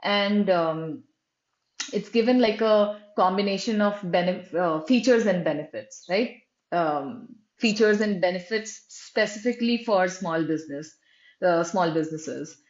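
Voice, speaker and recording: Female reviewer, chatty screen-share commentary, mid pitch, echoey and dull recording. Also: unhurried. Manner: conversational, frequent "right?" and fillers, restarts. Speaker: website reviewer. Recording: roomy, dull lo-fi sound.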